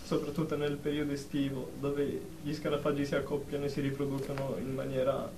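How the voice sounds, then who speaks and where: A man's voice: medium pitch, completely flat delivery, brisk, natural voice. A man acting an anxious, short-tempered patient, hesitant and defensive, in a radio drama. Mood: frightened. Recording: echoey, distant, noisy amateur recording.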